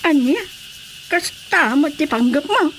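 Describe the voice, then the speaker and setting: A woman's voice: high-pitched, animated, a little slow, loud music behind the voice. A woman playing a bold, teasingly lustful female rebel commander in a radio drama. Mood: insistent.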